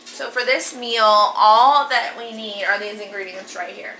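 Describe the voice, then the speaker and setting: A hoarse woman in her thirties hosting a casual cooking vlog, chatty and full of ums and likes. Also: mid-range voice, steady tone, measured pace, sharp tone, a little hiss or hum, poor audio. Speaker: cooking channel host.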